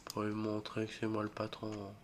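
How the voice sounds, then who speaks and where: A young man with a cold, voice a bit rough, joking and getting spooked as he plays a horror game for his channel. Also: on the low side, monotone, normal speed, over music. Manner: jokey, easily spooked, mutters reactions and swears. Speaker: gaming video creator. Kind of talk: solo horror game let's play commentary.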